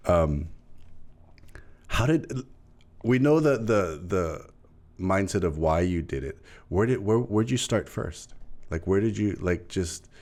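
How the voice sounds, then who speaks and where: A man's voice: low voice, animated, slow, long pauses, a little mellow. A man hosting an interview, asking long, rambling questions and offering frequent affirmations. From Hawaii. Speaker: host. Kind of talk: casual interview conversation.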